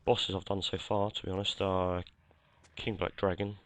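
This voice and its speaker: A man with a deep, monotone voice narrates his gameplay in a relaxed, rambling way. His throat sounds croaky from a cold, and he fills pauses with "uh" and corrects himself mid-sentence. It sounds like a home headset recording. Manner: rambling, self-correcting, many fillers. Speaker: gameplay commentator. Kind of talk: casual game commentary.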